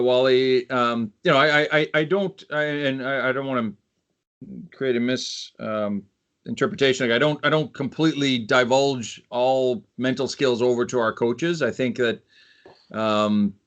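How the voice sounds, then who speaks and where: Man, Canadian accent, coach guest, halting speech with restarts, mid pitch. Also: fairly bright tone. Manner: halting, many repeated starts. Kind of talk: casual chat on a video call.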